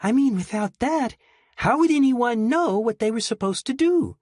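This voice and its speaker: A man voicing an earnest, preachy young woman researcher with a breathy, thin voice in a storybook reading. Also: extremely high pitch, lively intonation, moderate pace, darkish tone, dry recording, clean studio sound, voice pushed up. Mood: lecturing. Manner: lecturing, insistent.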